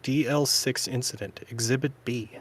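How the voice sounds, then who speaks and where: A man's voice: medium pitch, steady tone, moderate pace, a little hiss or hum, natural voice. A man voicing a young defense lawyer from a video game, narrating what he observes in a wry, matter-of-fact way. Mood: musing, matter-of-fact.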